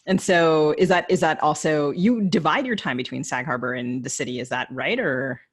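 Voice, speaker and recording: A woman's voice: sits low, moderate pace, husky. An American woman co-hosting a podcast, asking wordy, informal questions with self-deprecating personal asides. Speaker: podcast co-host, interviewer.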